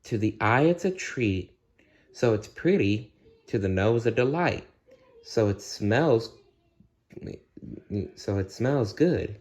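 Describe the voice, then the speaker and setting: Man playing a sweet, slow-musing young princess. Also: medium pitch.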